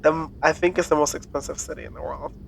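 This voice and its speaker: A woman's voice: low voice, steady tone, fast, vocal fry, slight background noise, poor audio. A woman co-hosting a podcast in casual chat, playfully quizzing and teasing her co-host and laughing often.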